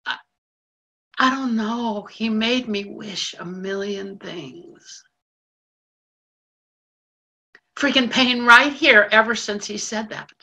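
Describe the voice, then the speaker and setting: An actress playing a lonely, lovesick woman who mops floors at a train station, talking bluntly and haltingly with plenty of swearing. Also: medium pitch, bright, forceful tone, heavily acted. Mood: hurt. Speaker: actor in a monologue. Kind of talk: stage monologue.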